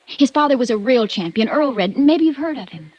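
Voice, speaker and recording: An actress playing a spoiled, pouting rich young woman in a 1950s radio drama, coy and teasing but quick to get defensive. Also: high voice, lively intonation, darkish tone, no echo. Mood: upset. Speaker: rich horse owner's daughter. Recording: dull dry vintage radio recording.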